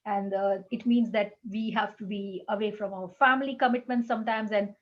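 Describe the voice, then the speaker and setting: A woman hosting a chat show, talking in a halting way with many fillers as she introduces her guest. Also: mid-range voice, steady tone, dull audio. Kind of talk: casual interview chat.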